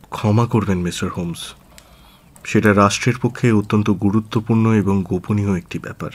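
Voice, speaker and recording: A man's voice: on the low side, close to an everyday voice. Man acting a formal, anxious government minister. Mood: guarded, firm. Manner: formal, deliberate, earnest, hesitant pauses. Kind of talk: Bengali radio drama acting.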